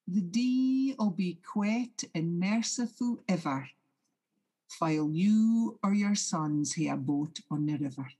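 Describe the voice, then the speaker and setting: A woman voicing a male kelpie water spirit, speaking a slow, measured blessing in a Northeast Scots accent. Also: on the low side, lively intonation, mellow, slightly rough, heavily acted. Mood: solemn.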